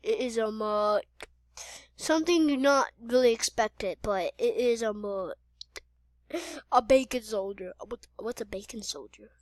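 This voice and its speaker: A woman's voice: a little high, a little slow, no echo, lightly acted. A woman playing a victim in an improvised amateur horror story, melodramatic and hesitant, repeating short phrases. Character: frightened victim, pleading, turning angry.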